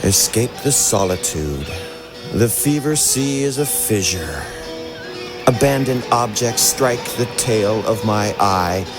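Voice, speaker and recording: Slow low-voiced man reading poems. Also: animated. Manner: measured, fragmentary, trailing into whispers. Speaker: poetry reader. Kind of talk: slow poetry reading over a soundscape. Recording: voice over loud music bed.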